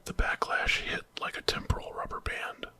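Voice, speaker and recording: A man tells a horror story in a steady ASMR whisper. The voice has no real tone to it, only breath, with a very bright, hissing edge. The delivery is that of a scripted narrator. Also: moderate pace.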